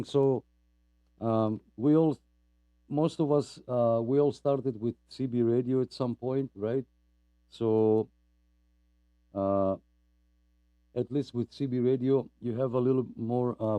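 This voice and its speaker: A man hosting a live radio net, talking in a casual, rambling way with plenty of fillers. His voice is dark and mellow, smooth and resonant, and it sounds very dry and close to the mic. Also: mid-range voice, no echo. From Greece. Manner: rambling, apologetic, chatty with many fillers. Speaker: net host.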